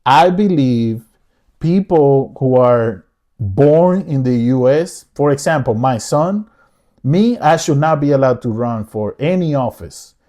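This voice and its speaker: Vlogger, middle-aged man from the Dominican Republic; mellow, dark tone; earnest, repeats himself and restarts lines. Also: mid-range voice. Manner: earnest, repetitive, frequent restarts. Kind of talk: casual opinion monologue.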